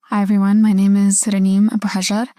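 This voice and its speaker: A young American woman chats casually as a student podcast guest. Her tone is mellow, with little rise and fall. The sound is dry. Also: mid-range voice, completely flat delivery, fast talker, no room sound. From California, United States. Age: young adult. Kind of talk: casual chat.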